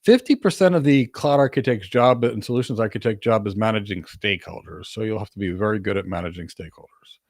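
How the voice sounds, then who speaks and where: Man, deep-ish voice, dry close sound, career coach answering questions, emphatic, assertive, informal explaining. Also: fast, no echo. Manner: emphatic, assertive, repeats key words for stress.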